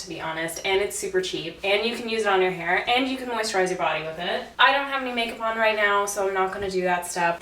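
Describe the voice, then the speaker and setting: A young woman with a stuffy, congested voice chats casually and self-deprecatingly to camera. She sounds set back from the mic in a roomy bathroom. Her pitch sits in a middle range. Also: fairly bright tone. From Canada. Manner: chatty and self-deprecating, laughs at herself. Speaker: beauty vlogger. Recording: roomy, slightly distant audio.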